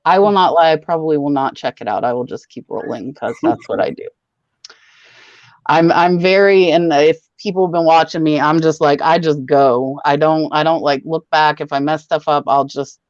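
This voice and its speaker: A woman hosting a casual livestream chat, talking in a brisk, rambling, matter-of-fact way. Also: low-pitched, no room sound, dull audio. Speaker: host.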